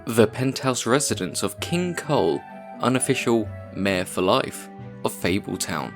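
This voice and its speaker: A man's voice: lowish voice, expressive, a little slow, soft background music. A man acting as a formal scene-setting narrator in a comic dub. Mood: formal, announcing.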